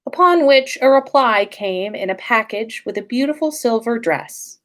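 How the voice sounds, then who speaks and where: A woman hosting a story livestream in an informal, playful and expressive way. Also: fairly high pitch, moderate pace. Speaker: podcast host and story reader. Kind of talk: livestream story reading and casual chat.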